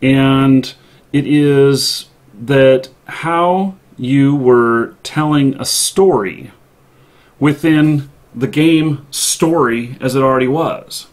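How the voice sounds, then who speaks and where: Slow, halting man hosting a live stream. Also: mid-range voice. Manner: halting, hesitant phrasing. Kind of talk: casual live interview. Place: indoor office. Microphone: online call.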